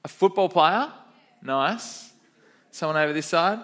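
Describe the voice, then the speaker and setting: Upbeat kids pastor, higher voice. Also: male voice, expressive, a little slow, fairly bright tone. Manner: upbeat, chatty, quizzes the kids. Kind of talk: children's sermon to a church. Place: church.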